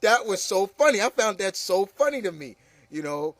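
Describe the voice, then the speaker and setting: Man, slightly high voice, dry close sound, casual opinion commentary, emphatic, rambling. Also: big pitch swings, moderate pace, dry recording. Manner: rambling, repeats phrases. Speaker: video commentator.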